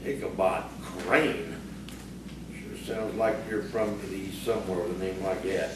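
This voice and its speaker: An actor playing a gruff, sarcastic frontier bully in an audio theatre piece, taunting and bossy. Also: male voice, deep voice, a little slow. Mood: needling. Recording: distant, echoey, noisy stage recording.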